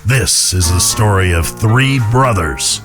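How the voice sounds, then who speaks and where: A man's voice: fairly low pitch, animated, slow pace. A man playing a grave, wry Old West storyteller, narrating an audio drama in a folksy voice. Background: guitar music.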